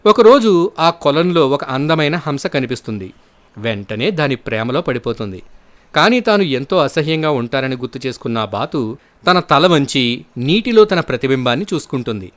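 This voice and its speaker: A man's voice: medium pitch, sing-song, normal speed, a bit bright, dry recording. A man narrating scripted moral stories for children as a storyteller.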